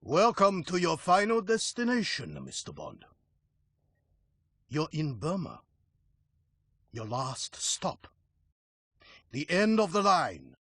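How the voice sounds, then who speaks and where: An actor playing a gloating, menacing crime villain taunting a captive in a theatrical, deliberate manner for a video game. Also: male voice, on the high side, huge pitch swings, a bit bright, breathy edge, no room sound, studio quality, full character voice. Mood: gloating menace. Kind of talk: dramatic video game cutscene acting.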